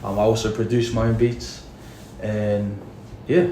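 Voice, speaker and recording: A man's voice: lowish voice, little pitch movement, a little slow, warm tone, a little hiss or hum. Laid-back man in a roomy studio. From Australia. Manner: laid-back, slangy, agrees often. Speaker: rapper and producer, podcast guest. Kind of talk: casual podcast chat.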